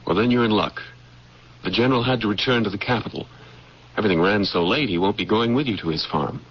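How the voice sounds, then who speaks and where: An actor playing an earnest, scheming general's aide in an old-time radio drama, deferential and persuasive in manner. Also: male voice, on the low side, fast talker, breathy edge. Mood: deferential, businesslike. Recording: muffled, noisy old radio broadcast recording.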